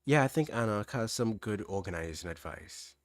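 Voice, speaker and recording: A young man with a mild Trinidadian accent answering chat questions on a livestream, relaxed, thinking aloud with restarts. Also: lowish voice, moderate pace, dry recording. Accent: Trinidadian, fairly standard. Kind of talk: casual livestream Q&A.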